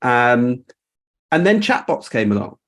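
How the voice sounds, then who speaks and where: A man's voice: medium pitch, sing-song, unhurried, fairly bright tone. A man hosting a casual group video call, talking in an informal, rambling and appreciative way. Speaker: host. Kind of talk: casual chat, reflecting on a creative project.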